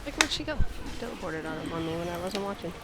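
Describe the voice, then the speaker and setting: A woman's voice: on the low side, very animated, fast talker, heavy background noise. A woman hosting a gaming stream, chatty and playful, reacting to the game as she plays. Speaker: streamer host. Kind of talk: casual gaming stream chat.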